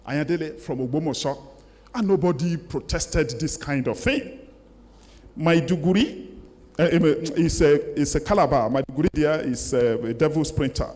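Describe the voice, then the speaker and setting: An older man with a Nigerian accent gives a formal public lecture, speaking deliberately and emphatically as he reads and reflects. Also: on the high side, a little mellow. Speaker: guest lecturer. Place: large lecture hall, indoors. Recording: echoey hall with long reverb.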